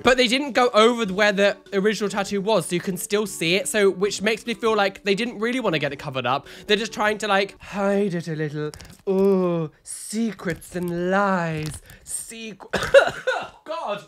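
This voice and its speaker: A man in his thirties, congested and nasal from a cold, giving camp, chatty reaction commentary from a home studio at a close mic. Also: high voice. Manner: animated, sassy, dramatic exclamations. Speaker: YouTuber reacting to photos. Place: home studio room with neon lights. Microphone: studio mic on a boom arm, close.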